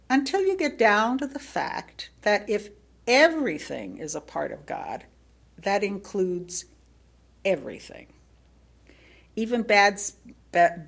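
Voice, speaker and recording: A woman reading a prepared sermon as a lay speaker, in a reflective manner with rhetorical questions and deliberate pauses. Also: medium pitch, creaky. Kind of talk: sermon read from a prepared text.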